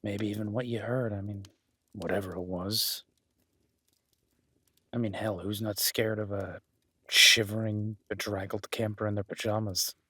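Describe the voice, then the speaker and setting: A man playing a solitary, kindly cabin dweller and former medic in an intimate audio roleplay, speaking haltingly with dry teasing and reassurance. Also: lowish voice, flat delivery, pushed and bright, no room sound. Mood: teasing, reassuring. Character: gruff-kind solitary cabin-dwelling former EMT, awkward, teasing, protective.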